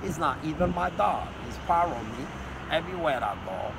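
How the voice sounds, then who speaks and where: A man's voice: fairly high pitch, expressive, a little fast, vocal fry. A middle-aged man from Morocco with a Moroccan accent, talking in an agitated, rambling, repetitive way to a street camera. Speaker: street interviewee. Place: city street outdoors. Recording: outdoor street with loud traffic noise.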